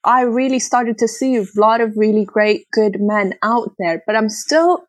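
A woman whose first language is Arabic, speaking earnestly as an interview guest, sometimes pausing to search for words. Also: a little high, no echo. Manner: earnest, searching for words, laughs between thoughts. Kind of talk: conversational interview.

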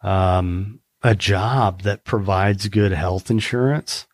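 A man's voice: lowish voice, fairly even intonation, slow, no echo. An American man talking earnestly in a casual conversation, rambling a little with restarts. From United States.

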